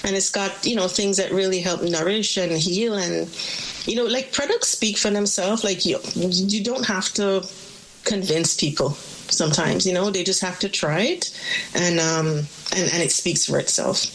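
A woman's voice: mid-range voice, normal speed, slight background noise, rough recording. A middle-aged woman, born in Canada and raised in Jamaica, chatting as a small business owner in a radio interview and laughing often as she talks.

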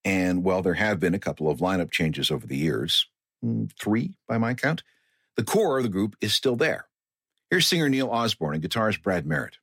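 Husky, lively male radio host. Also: lowish voice, moderate pace, no echo, clean studio sound. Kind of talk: scripted music-history narration.

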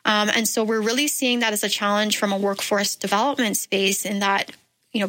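A woman's voice: medium pitch, a bit bright, rough recording. A woman from the Bahamas, a guest in a radio studio interview, answering thoughtfully with frequent "um" fillers. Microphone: studio microphone.

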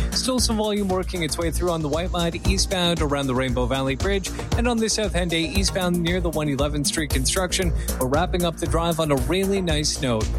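A man reading a radio traffic report in a brisk, smooth announcer delivery. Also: fairly high pitch. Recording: radio report over music bed.

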